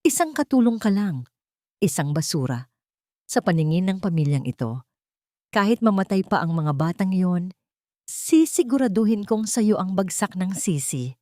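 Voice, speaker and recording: A woman's voice: mid-range voice, sing-song, moderate pace, long pauses, dark tone, dry recording, studio quality, close to an everyday voice. A woman voicing a cruel, taunting rich villainess who threatens a housemaid in an audiobook drama. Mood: cold gloating menace. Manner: taunting, menacing.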